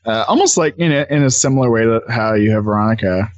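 A man chatting casually as a podcast guest, somewhat halting as he searches for his words. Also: medium pitch, lively intonation, no room sound, muffled recording. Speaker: podcast guest, storytelling podcast co-host. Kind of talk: casual interview chat.

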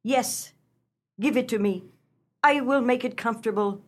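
A woman's voice: a little high, measured pace. An actress playing a kindly, fretful old farmer's wife in a comic radio melodrama, earnest and motherly in a theatrical way. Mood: motherly concern.

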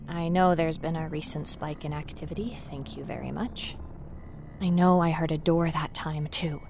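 A woman's voice: fairly low pitch, darkish tone. A woman playing a nervous, wry paranormal investigator in an acted first-person horror audio drama, talking in a conversational, self-aware way. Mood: tense. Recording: noisy ambient sound bed behind voice.

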